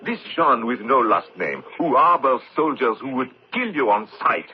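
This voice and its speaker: An actor playing a pompous, sadistic collaborator interrogator in a radio drama, with imperious, menacing, theatrical phrasing. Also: male voice, medium pitch, big pitch swings, normal speed, natural voice. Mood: angry, accusing. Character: cruel, pompous male French collaborator interrogator, sadistic and sneering. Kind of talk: 1970s radio drama acting. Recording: dull, noisy old radio broadcast recording.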